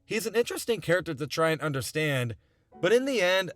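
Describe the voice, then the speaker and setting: Young male video essayist, highish voice with very lively intonation, earnest and conversational, music underneath. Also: quick pace. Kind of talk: scripted video essay narration. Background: background music.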